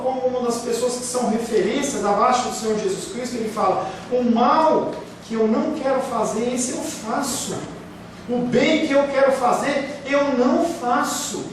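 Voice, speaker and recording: A man preaching a sermon as pastor, emphatic, with rhetorical questions and acted-out excuses. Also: very high-pitched. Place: church hall. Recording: very echoey room, speaker far from mic, faint hiss.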